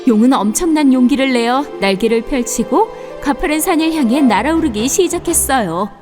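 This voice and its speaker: Female storyteller, high voice, expressive children's fairy tale narration, music underneath. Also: moderate pace.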